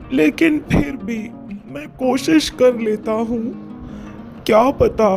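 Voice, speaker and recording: A man's voice: very high-pitched, vocal fry, exaggerated voice. A man voicing a kind, honest young villager in a cartoon story. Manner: earnest and gentle. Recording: voice over background music.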